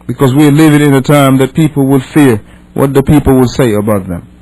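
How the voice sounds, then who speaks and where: A man's voice: mid-range voice, steady tone, a little fast, warm tone, low-quality recording. A man from Senegal, a non-native English speaker, teaches a religious class as an imam, reading and explaining with emphatic repetition and rhetorical questions. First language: not English. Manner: emphatic, repeats and rephrases, asks rhetorical questions.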